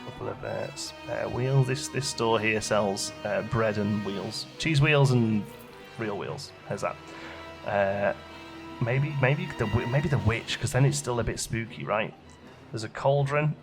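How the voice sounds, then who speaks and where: Low-voiced, gravelly man doing game commentary. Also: measured pace, over music. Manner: chatty, thinking aloud as he plays. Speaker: gaming channel host. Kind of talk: casual let's play commentary.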